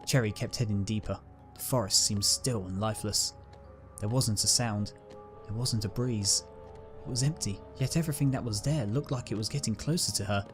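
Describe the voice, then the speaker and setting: A man's voice: lowish voice, normal speed, soft background music. A man narrating a dramatic scripted story as its reader.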